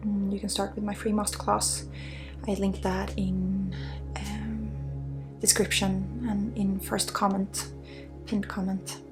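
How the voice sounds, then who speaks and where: A woman from Sweden with a Swedish accent speaks as a spiritual healer in an intimate ASMR energy healing style, drifting between soft speech and breathy whispers. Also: mid-range voice, flat delivery, slow pace, loud music behind the voice.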